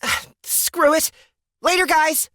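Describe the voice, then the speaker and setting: A woman's voice: sits high, fairly even intonation, slow, pushed and bright, no room sound, studio quality, exaggerated voice. A woman playing a feisty, foul-mouthed adventurer, brash and exclamatory. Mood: defiant.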